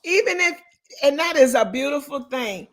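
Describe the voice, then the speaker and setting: A raspy-voiced woman runs a live coaching session. Her pitch sits a little high. She drives each point home with lively emphasis, speaking straight to her listeners. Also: moderate pace. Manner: animated, emphatic, talks straight to the audience. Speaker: real estate coach.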